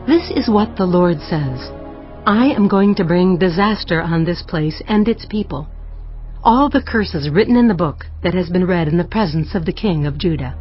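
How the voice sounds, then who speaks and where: An actress playing a solemn female prophet in a dramatized scripture audio, pronouncing judgment in a measured, declamatory way. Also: lowish voice, natural voice. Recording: slightly dull audio over faint music.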